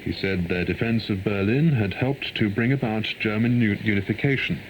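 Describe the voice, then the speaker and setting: A man reads a formal, measured world news bulletin, heard over shortwave radio. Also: on the low side. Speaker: radio newsreader. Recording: noisy 1990s shortwave radio reception.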